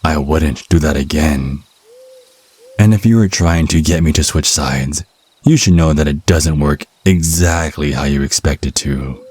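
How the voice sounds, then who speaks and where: Casting: male actor for a smug, mocking vampire hunter in ASMR audio drama, bottomless low register, unhurried delivery. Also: a little mellow, full character voice. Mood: cool, threatening. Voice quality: husky, very deep. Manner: sardonic, mocking, slow deliberate phrasing. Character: cocky, taunting male vampire hunter, youthful but over a century old. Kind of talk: ASMR roleplay monologue.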